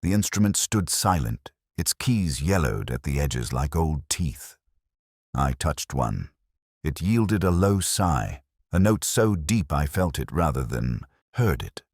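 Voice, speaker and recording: A middle-aged man reading a scripted first-person detective story in a measured, brooding, literary manner. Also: very deep voice, no room sound, studio quality.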